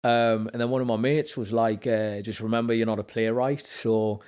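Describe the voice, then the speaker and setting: A young man from Zambia with a Newcastle accent, a podcast guest telling lively stories about his work and acting out what people said. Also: moderate pace, clear, resonant tone. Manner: animated storyteller acting out quoted dialogue, lifts in pitch when excited. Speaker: podcast guest, actor and musician. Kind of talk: casual storytelling chat.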